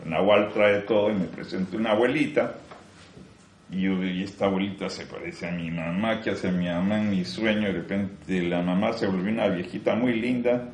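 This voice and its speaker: Male spiritual teacher, low voice, echoey room, slow emphatic delivery, rhetorical questions. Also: steady tone, slight background noise. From Peru. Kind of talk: spiritual teaching talk to a group.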